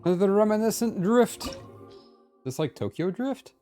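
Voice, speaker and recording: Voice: mid-range voice, animated, normal speed, dark tone, soft background music. A game streamer giving casual live commentary, chatting and reacting aloud to the game.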